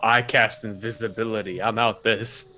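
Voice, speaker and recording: A man chatting casually and haltingly as a player in a tabletop game. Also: lowish voice, steady tone, bright, forceful tone, rough recording. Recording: faint noise with insect sounds.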